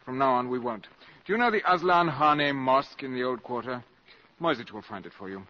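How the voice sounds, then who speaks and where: An actor playing a suave, shrewd valet who is secretly a spy, coolly sardonic and formally polite, in 1950s radio drama style. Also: male voice, mid-range voice, a little fast, sharp tone, natural voice. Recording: dull 1950s radio broadcast.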